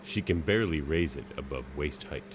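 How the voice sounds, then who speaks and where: A man's voice: extremely low pitch, brisk, a little hiss or hum. A man reading scripted stage directions as the narrator of a radio play.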